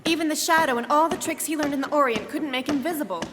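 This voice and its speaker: A woman's voice: high-pitched, fast, background noise, natural voice. A woman playing an earnest, worried companion to a detective in a live old-time radio drama, in an echoey hall. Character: anxious, loyal female companion of a sleuth.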